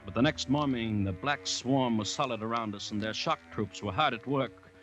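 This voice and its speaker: A man acting an anxious commissioner who narrates a 1940s radio drama in a measured, dramatic way full of dread. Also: mid-range voice, a bit bright, highs cut off, soft background music, close to an everyday voice. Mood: mounting dread. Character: anxious male government commissioner recounting a dreadful siege. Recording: restored 1940s radio broadcast.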